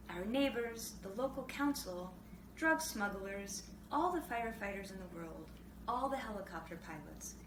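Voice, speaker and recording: A woman voicing a grumpy, exasperated older brother, irritated and emphatic, in a storybook reading. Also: fairly high pitch. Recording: roomy, distant mic, clearly noisy background.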